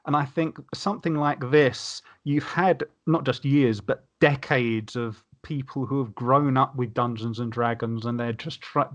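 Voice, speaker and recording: A man's voice: mid-range voice, lo-fi sound. A man talking casually and at some length as a panelist in a discussion about tabletop games. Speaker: podcast panelist.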